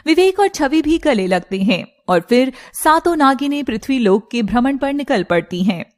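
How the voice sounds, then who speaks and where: High-pitched woman narrating a cartoon story. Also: lively intonation, normal speed.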